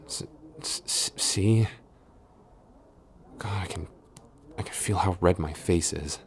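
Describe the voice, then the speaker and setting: Very deep-voiced, hesitant boyfriend played by a man. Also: takes it slowly, slight background noise. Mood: shy, embarrassed. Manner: stammering, hesitant, easily flustered. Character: flustered, shy tsundere boyfriend and office coworker. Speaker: voice actor in audio roleplay. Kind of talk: intimate boyfriend audio roleplay acting.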